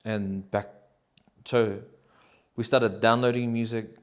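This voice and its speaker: A man, answering interview questions in a relaxed, rambling way with many ums and likes. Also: fairly low pitch, slow, clean tone. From New Zealand. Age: 30s.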